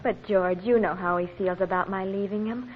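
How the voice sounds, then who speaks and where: A woman's voice: mid-range voice, steady tone, normal speed, natural voice. A woman playing an anxious, dutiful country daughter in a 1940s radio drama, earnest and pleading. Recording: tinny, hissy old radio recording.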